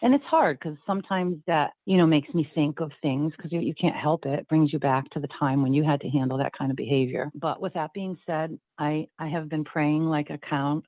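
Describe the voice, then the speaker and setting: A woman's voice: deep voice, no room sound. A middle-aged woman sharing personal things casually and earnestly over a video call. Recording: very thin, phone-like call audio.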